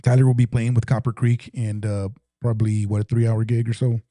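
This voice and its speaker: A man's voice: medium pitch, level tone, brisk, dark tone, dry recording, clean studio sound. A man from South Texas hosting a casual podcast, upbeat and rambling, full of fillers. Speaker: podcast host.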